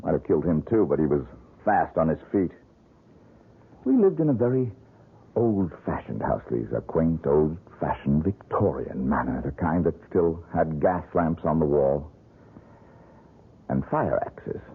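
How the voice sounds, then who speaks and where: A man's voice: very deep voice, lively intonation, unhurried, breathy edge, heavily acted. An actor playing a haunted young man scarred by his childhood in a 1970s radio drama, speaking intensely and broodingly. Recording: tinny 1970s radio broadcast.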